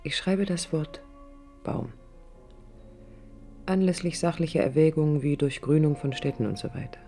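An actress playing a sensitive, proud yet vulnerable young woman architect, narrating her inner thoughts in short halting phrases for a radio drama. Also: low voice, warm tone, soft background music. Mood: wistful, reflective. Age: young adult.

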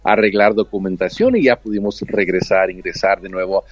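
A man's voice: deep voice, moderate pace, no echo, highs cut off. A man with a Mexican accent whose first language is English, a nurse telling his story earnestly in an interview. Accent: Mexican Spanish. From United States, raised with Mexican family. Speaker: guest, nurse and author.

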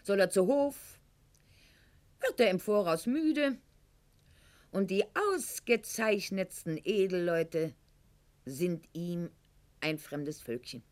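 An actress playing a proud, wounded, sharp-tongued aristocratic lady of the Weimar court in a radio play monologue, articulate and declamatory, with dramatic pauses. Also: on the low side. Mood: haughty indignation. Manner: haughty, articulate, declamatory, with dramatic pauses and hushed line endings.

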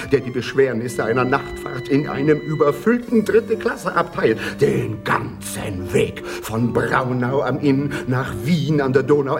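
An actor playing a pompous, self-pitying young provincial would-be artist in a radio play, speaking in florid, declamatory sentences. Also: male voice, medium pitch, lightly acted.

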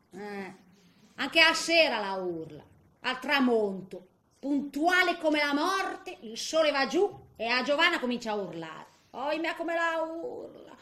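A feisty old woman with a Tuscan accent grumbles through a comic theatre monologue. Her voice is bright and sharp, swooping up and down, with muttered asides and exclamations. It rings in a roomy, echoing space. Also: high voice, full character voice. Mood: rueful, grumbling. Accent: Tuscan Italian. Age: older. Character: feisty, grumbling eighty-year-old woman in a nursing home.

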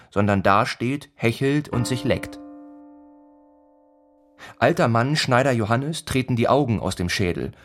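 An actor narrating a grimly comic village tale in a literary radio play, in a rhythmic, repetitive manner. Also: male voice, medium pitch, natural voice. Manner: incantatory, repeats phrases rhythmically. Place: studio radio play production.

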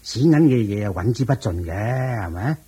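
An actor playing a wealthy old merchant and landlord in a Cantonese radio drama, with a drawn-out delivery of chuckles and sighs. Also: male voice, medium pitch, lively intonation, warm tone, husky. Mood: worried, weary.

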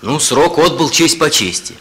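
Soviet police officer reporting in, sharp voice, frank n respectful, radio play. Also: male voice, on the high side, lively intonation, normal speed. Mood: businesslike. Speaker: actor. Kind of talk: Soviet radio drama acting.

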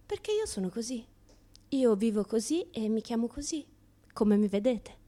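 A woman's voice: fairly high pitch, big pitch swings, moderate pace, warm tone. An actress playing a brash, unashamed, chatty woman with a Roman accent in a comic radio monologue, talking in a colloquial, teasing way. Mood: breezy, showy. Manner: colloquial, teasing, mimics other people in dialogue. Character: brash, chatty naked woman who turns up everywhere, unashamed.

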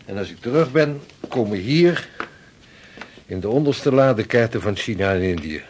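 An actor playing a confident sixteenth-century navigator in a historical radio drama. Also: male voice, on the low side, lively intonation, normal speed, background noise, close to an everyday voice. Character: confident 16th-century navigator showing his sea charts.

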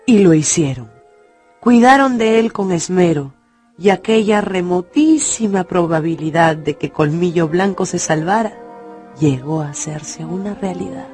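An actress narrating an adventure novel as a storyteller in a dramatized radio audiobook. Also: female voice, lowish voice, lively intonation, a little slow, darkish tone, husky, faint music behind. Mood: tender storytelling.

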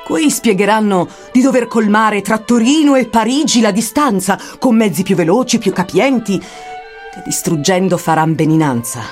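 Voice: mid-range voice, lively intonation, normal speed, close to an everyday voice. A grave, wise guide voiced by a reciter declaims prophetic old verse in a radio poetry drama. The tone is solemn and oracular, with light music beneath the voice.

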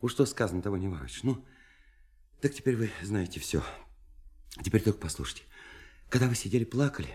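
An actor in a Russian radio play, voicing a shy, lovelorn young man who speaks haltingly and fervently, pleading and self-effacing. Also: fairly low pitch, big pitch swings, darkish tone, husky. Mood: sad, faltering.

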